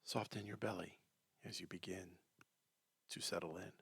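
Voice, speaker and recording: Male meditation guide: deep, husky and soft, gentle delivery with long pauses, breathy phrasing. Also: fairly even intonation, no echo. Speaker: meditation teacher.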